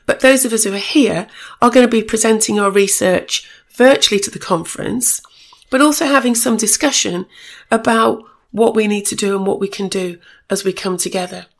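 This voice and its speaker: A woman hosting a solo podcast with a slightly croaky voice, giving a chatty, informative update and correcting herself as she goes. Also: medium pitch. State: a bit croaky voice. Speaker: podcast host.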